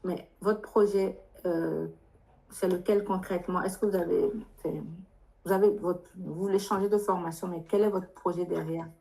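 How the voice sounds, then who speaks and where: A woman with a fairly low voice works as an adviser in a live question-and-answer workshop. She speaks spontaneously in a directive, insistent way and often puts probing questions. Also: steady tone, moderate pace, gravelly, mellow, low-quality recording. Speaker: adviser leading a workshop for international students.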